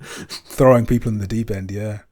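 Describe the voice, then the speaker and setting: A man's voice: fairly low pitch, steady tone, normal speed, a little mellow. A man co-hosting a podcast in casual chat, talking freely with many "um" and "you know" fillers. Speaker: podcast co-host and editor.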